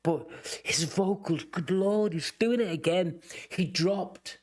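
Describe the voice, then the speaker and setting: A man hosting a music reaction video, musing aloud in halting, reflective phrases broken by exclamations. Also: sits high, darkish tone.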